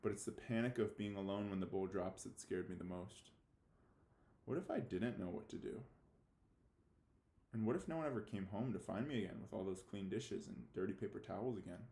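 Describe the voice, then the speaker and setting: A man's voice: on the low side, a little fast, warm tone, echoey room, voice pushed down, lightly acted. A man reading a children's novel aloud as an anxious, defiant young boy left home alone, confessional and emphatic. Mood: worried, regretful. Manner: confessional first-person storytelling with emphatic, childlike phrasing.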